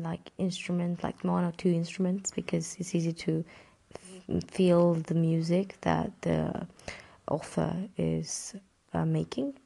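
Low gravelly woman. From Romania. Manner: relaxed, rambling, with fillers and small laughs. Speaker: interview guest. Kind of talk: casual interview answers.

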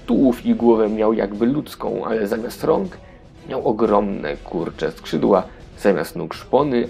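A man's voice: on the low side, creaky, darkish tone. A man narrating a scripted fairy tale for children as the storyteller of an audio play.

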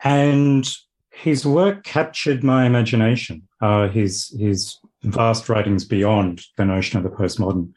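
A man with an Australian accent, a philosophy lecturer speaking as a guest in a casual interview, thoughtful and measured with occasional fillers. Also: on the low side, a little mellow.

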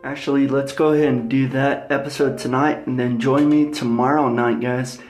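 A man hosting a podcast, giving a casual outro in a halting, phrase-by-phrase manner. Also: medium pitch, little pitch movement, a little mellow, faint music behind. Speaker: podcast host.

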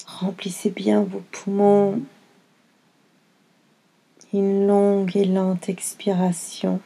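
A woman leads a guided meditation with a soft, husky voice that stays dark and mellow. She speaks gently in short, soothing phrases, leaving long pauses between them. There is a light fry in her voice as she gives each unhurried instruction. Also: medium pitch, completely flat delivery. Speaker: yoga nidra guide.

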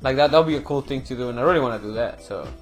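A man's voice: medium pitch, very fast, faint music behind. A young man from Chile with a Chilean Spanish accent, chatting loosely in an interview about fighting games, full of "bro" and "you know". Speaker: fighting game player and commentator. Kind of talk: casual interview.

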